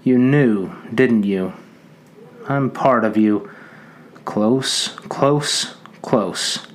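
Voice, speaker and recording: A man voicing a menacing talking pig's head that mocks, coaxes and threatens, at a slow, measured pace. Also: medium pitch, slight background noise. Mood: taunting. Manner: mocking, coaxing then threatening.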